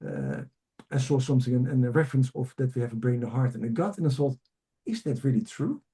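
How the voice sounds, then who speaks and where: A man's voice: mid-range voice, fast talker, warm tone. A middle-aged man from Amsterdam with a Dutch accent, a native Dutch speaker, telling his story conversationally as a guest. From Amsterdam, Netherlands. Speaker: podcast guest, leadership coach.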